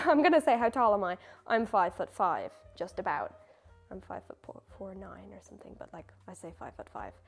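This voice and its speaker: A young woman, a baker, answering viewer questions in a chatty, self-mocking way. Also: medium pitch. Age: young adult. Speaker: baker and video creator. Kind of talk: casual question-and-answer chat.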